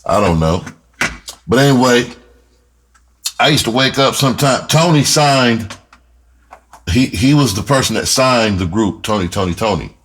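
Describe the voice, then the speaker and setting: A man's voice: medium pitch, expressive, a little slow, long pauses, fairly bright tone, slight background noise. A middle-aged American man telling stories casually and in slang, in a rambling way that often repeats phrases. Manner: rambling, repeats phrases, chuckles while reminiscing. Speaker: music producer and storyteller. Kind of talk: casual storytelling monologue, slangy and profane.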